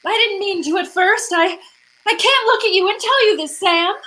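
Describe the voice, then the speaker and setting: Radio drama femme fatale, played by a woman: very high, tense female voice, pleading, seductive, melodramatic. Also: normal speed, sharp tone. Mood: distressed, evasive. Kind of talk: old-time radio drama acting.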